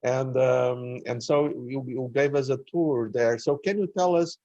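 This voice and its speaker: A male host guiding an interview, speaking deliberately with many pauses and "uh"s. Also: mid-range voice, warm tone, no echo, lo-fi sound.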